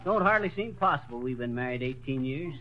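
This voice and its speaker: A man's voice: medium pitch, animated, fairly quick, muffled recording, lightly acted. An actor playing a folksy, pun-loving middle-aged husband who laughs at his own jokes in a classic radio comedy. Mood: cheerful. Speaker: comedy lead.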